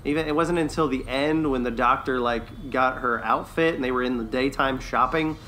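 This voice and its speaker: A man co-hosting a podcast in casual chat, with an informal, loose and halting delivery. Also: medium pitch, sharp tone, slight background noise. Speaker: podcast co-host.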